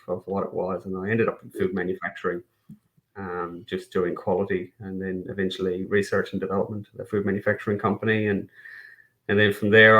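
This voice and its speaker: Low, flat-voiced Irish man. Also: poor audio. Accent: Irish, Kerry. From Ireland. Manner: self-deprecating humor, frequent restarts and fillers. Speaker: guest. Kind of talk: casual podcast interview.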